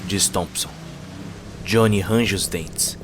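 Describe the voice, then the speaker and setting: A man's voice: sits low, slow pace, background noise. A man with a Brazilian Portuguese accent giving dramatic scripted narration of a cyberpunk story. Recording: music under the voice.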